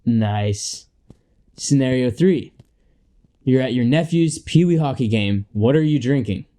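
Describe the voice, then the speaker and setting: A young man acting in a comedy radio play as a crude, cocky slob who coaches people in a pompous, deliberate way. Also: medium pitch, expressive, mellow, close to an everyday voice. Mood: brisk, smug. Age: young adult.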